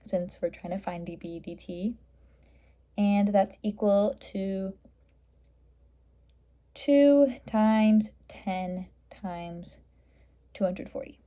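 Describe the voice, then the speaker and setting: Female tutor for math walkthrough: middle-pitched, somewhat mellow voice, matter-of-fact step-by-step delivery. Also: drawn-out words, pauses often. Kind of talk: step-by-step explanation of a worked math problem.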